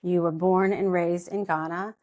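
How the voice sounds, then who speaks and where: A woman hosts a podcast interview in a chatty, encouraging way. Her voice sits a little low for a woman. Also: fairly even intonation, moderate pace, a little mellow. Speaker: podcast host.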